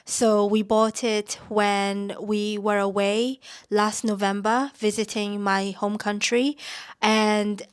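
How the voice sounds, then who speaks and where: A woman's voice: medium pitch, flat delivery, slow pace, clear, resonant tone. A woman from Myanmar hosting a casual podcast, sounding nasal as she gets over a cold, rambling with many "like" fillers. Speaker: podcast host. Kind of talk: casual chatty podcast talk.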